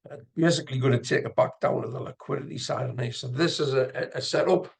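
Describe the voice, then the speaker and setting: A man giving casual live commentary as an instructor, gravelly and husky, sometimes muttering to himself as he explains. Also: mid-range voice, fast. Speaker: trading instructor and video host. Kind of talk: casual live screen-share commentary on chart trading.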